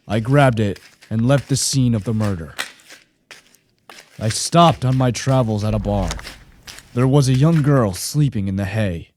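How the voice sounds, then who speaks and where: Man playing a mellow, lonely, vengeful monster. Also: mid-range voice, a little slow, slight background noise, lightly acted. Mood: brooding, bitter. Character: lonely, vengeful male monster created by a scientist. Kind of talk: radio play narration and dialogue.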